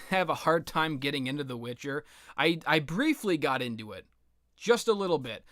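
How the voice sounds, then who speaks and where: Bright-voiced man rambling about video games. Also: mid-range voice, dry recording, clean studio sound. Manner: self-deprecating, sweary, sardonic. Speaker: gaming commentator. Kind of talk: casual rambling game commentary.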